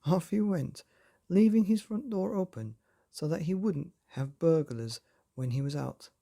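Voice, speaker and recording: A man narrating a children's bedtime storybook in a playful, expressive way, often putting questions to the listener. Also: fairly high pitch, unhurried, creaky.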